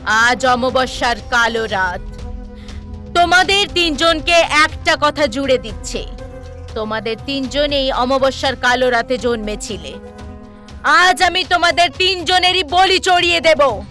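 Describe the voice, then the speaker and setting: A woman playing a cruel, scheming mother in an animated horror story, speaking in a menacing, theatrical way. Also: high-pitched, a little slow, soft background music, full character voice. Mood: gloating menace. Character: cruel scheming mother plotting human sacrifice to cure son.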